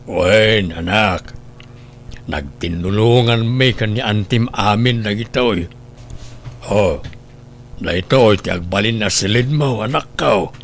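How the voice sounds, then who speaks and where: A man with an Ilocano Filipino accent playing a gentle, sorrowful father who tries to keep peace, in radio drama style. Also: lowish voice, slow pace, pauses often, a little hiss or hum. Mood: gentle, somber.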